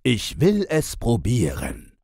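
Soldier in a fairy tale male reader acting: plucky, skeptical, jaunty, husky, animated. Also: mid-range voice, slow pace, dry recording, clean studio sound, pitched above the performer's own voice, heavily acted. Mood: skeptical, game. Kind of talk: fairy-tale audiobook character reading.

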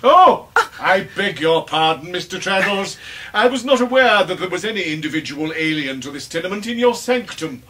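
An actor playing a pompous, effusive middle-aged English gentleman in a radio drama, with a grandiloquent, wordy, theatrical manner. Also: high voice, animated, normal speed, a little hiss or hum. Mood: courteous, grand.

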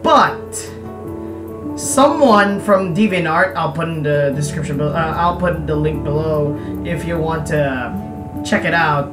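A man's voice: fairly high pitch, a little slow, echoey room. A young man narrating a visual novel playthrough in a casual, joking way with exasperated asides. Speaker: let's player reading a visual novel aloud. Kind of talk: casual gaming commentary and read-aloud narration. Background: game music.

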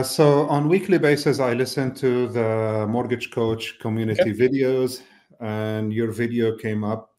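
Mellow-voiced man, thoughtful with many fillers. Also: lowish voice. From Lebanon. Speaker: mortgage business owner, guest. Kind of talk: casual interview over video call. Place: indoor office. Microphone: video call webcam mic.

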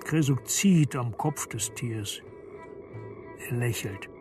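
A man reading literary narration for a radio play in a measured, deliberate storytelling manner. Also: medium pitch, animated. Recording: studio narration over prominent music. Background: music.

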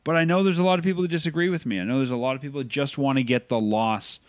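Guy on a sports podcast, opinionated, gets animated, dry close mic. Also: mid-range voice, racing, no room sound. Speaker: podcast co-host. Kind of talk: casual sports chat.

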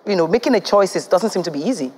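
A woman's voice: on the low side, expressive, very fast, a little mellow, husky. A woman in her thirties with a Nigerian accent hosting a studio talk show, asking probing, persistent questions on a lapel mic.

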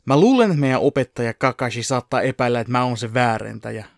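A man voicing a secretive, self-serious teenage ninja boy in an anime parody dub, with deliberate delivery. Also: medium pitch, gravelly, no echo, studio quality, close to an everyday voice. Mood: grave, confiding.

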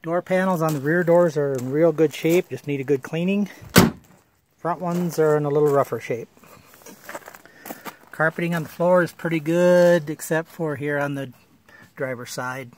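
A man's voice: a little high, moderate pace, pauses often, noisy background. A man filming his old car on a handheld phone, describing it in a plain, matter-of-fact way with many fillers, outdoors and inside the car. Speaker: car owner showing an old car. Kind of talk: casual walkaround video. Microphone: handheld phone camera.

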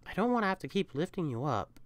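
A woman as a low-voiced, tender catperson sweetheart. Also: very animated, quick pace, dry recording. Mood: tender, earnest. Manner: tender, coaxing, emphatic. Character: affectionate, protective young catperson partner comforting a lover. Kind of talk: ASMR-style comfort roleplay audio.

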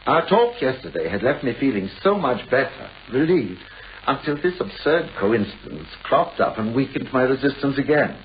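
Man acting nervy male writer, British accent, wordy, mannered, swooping pitch, muffled vintage radio drama. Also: sing-song, normal speed, lightly acted. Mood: confiding, troubled. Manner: formal, hesitant, wordy. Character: anxious, mannered male novelist, fussy and self-absorbed. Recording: dull, hissy old radio recording.

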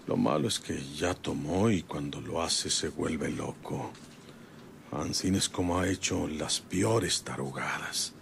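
A gruff, domineering Mexican rancher of middle age in a radio soap. The voice is very low and gravelly, moving slowly and ponderously. There is faint noise behind it. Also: male voice, a bit bright, heavily acted. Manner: folksy, ponderous, brooding. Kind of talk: radionovela acting, rural soliloquy.

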